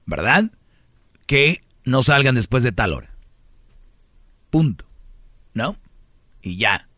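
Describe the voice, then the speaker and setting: A man's voice: mid-range voice, big pitch swings, slow pace, sharp tone, dry recording, clean studio sound. A man with a Mexican accent hosting a call-in radio talk show in a blunt, challenging, conversational way, full of rhetorical questions. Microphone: close studio microphone.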